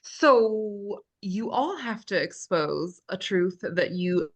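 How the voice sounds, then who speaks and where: A woman's voice: medium pitch, animated. A woman reading the part of a firm, teasing game show host at a script table read, with authoritative delivery. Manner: deliberate, authoritative.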